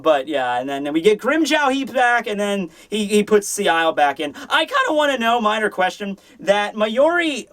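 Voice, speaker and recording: Casual male reviewer, high-pitched voice, rambling fan commentary with loose, salty phrasing. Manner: rambling, animated retelling with acted-out quotes. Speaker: manga chapter reviewer.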